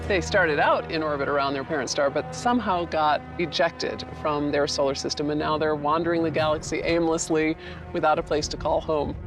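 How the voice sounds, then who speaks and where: A man's voice: a little high, fairly even intonation, a little fast. A man giving explanatory interview answers as an expert in a documentary. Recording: loud music under the voice. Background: loud background music.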